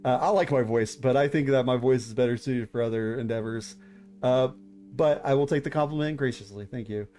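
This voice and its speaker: A man's voice: medium pitch, fairly quick, warm tone, slight background noise. A man in his thirties hosting a live stream, chatting casually with viewers, hesitant with many fillers and restarts. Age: 30s. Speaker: VTuber streamer hosting writing critiques.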